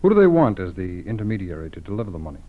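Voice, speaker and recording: A very thin, band-limited vintage radio recording carries a male FBI agent. His voice is fairly low, calm and businesslike, working through his words methodically in 1940s radio style. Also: phone-quality sound. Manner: measured, methodical questioning, reassuring.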